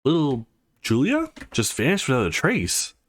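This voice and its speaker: A man's voice: mid-range voice, huge pitch swings, normal speed. A man voicing a concerned, grieving visual novel character in an earnest, sorrowful manner.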